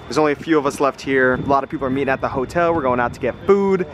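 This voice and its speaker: A man's voice: medium pitch, racing, noisy background. A man hosting a casual shopping vlog, chatting to viewers as he goes, recorded on a handheld camera. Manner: chatty, addresses viewers as guys. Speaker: vlog host and reseller on a thrifting trip. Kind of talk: casual running commentary while shopping. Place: thrift stores and parking lots. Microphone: handheld camera mic.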